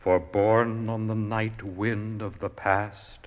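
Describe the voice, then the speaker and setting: A man gives a solemn, theatrical dramatic poetry recitation with measured phrasing. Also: fairly low pitch, flat delivery, muffled recording. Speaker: poetry reader. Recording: long hall reverb.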